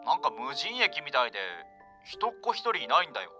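A man's voice: a little high, sing-song, fast, sharp tone, faint music behind, heavily acted. A man playing a careless, breezy young male student in an amateur radio drama. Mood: puzzled.

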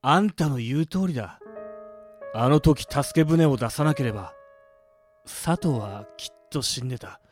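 A man's voice: medium pitch, expressive, a little slow, faint music behind, natural voice. A man acting a vengeful, bitter, desperate young man with a hot temper in an intense audio drama. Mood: subdued.

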